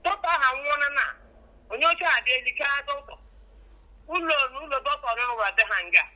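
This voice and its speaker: Middle-aged Nigerian woman, high sharp voice, rapid-fire casual talk, heard down a telephone. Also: lively intonation. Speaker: mother of the vlogger. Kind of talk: animated casual phone conversation. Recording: thin, bright telephone line.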